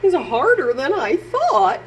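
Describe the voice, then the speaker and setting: A woman voicing a determined, hopeful female cow in a picture book, earnest and expressive. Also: extremely high pitch, slow pace, vocal fry, dull audio, a little hiss or hum, pitched above the performer's own voice, full character voice. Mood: discouraged.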